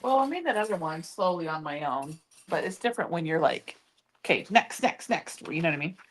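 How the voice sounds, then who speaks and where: Co-host: woman with a slightly low voice, playful banter, faint hiss behind. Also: lively intonation, brisk, poor audio. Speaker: co-host sewing along. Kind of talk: casual live-stream chat.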